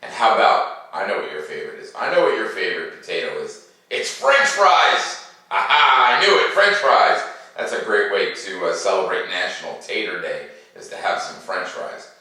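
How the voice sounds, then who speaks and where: A man talking to children like a school teacher, playful and animated, explaining things in simple words. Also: low-pitched, moderate pace, distant mic. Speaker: school teacher hosting a read-aloud for children. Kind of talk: chatty talk to children, then storybook read-aloud. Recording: very echoey room, away from the mic.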